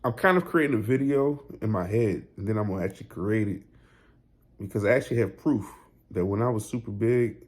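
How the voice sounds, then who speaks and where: A man's voice: lowish voice, a little fast, a little mellow. A man in his thirties making a casual motivational vlog, talking in a loose, repetitive, slangy way with lots of "you know". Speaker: weight loss vlogger.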